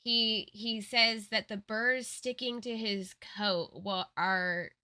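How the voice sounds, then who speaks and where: A woman's voice: medium pitch, steady tone, slow, bright, forceful tone. A woman co-host chatting casually, giving commentary and retelling parts of a story.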